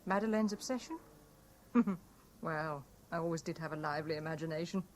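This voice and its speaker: A woman's voice: low voice, fairly quick, lightly acted. An actress playing a mistress confessing her part in a murder plot, with theatrical 1970s radio drama delivery. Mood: cool, matter-of-fact. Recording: noisy old radio broadcast recording.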